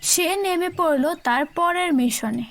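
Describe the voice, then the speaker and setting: A woman's voice: very high-pitched, slow, fairly bright tone. A woman narrator telling a scripted children's cartoon story.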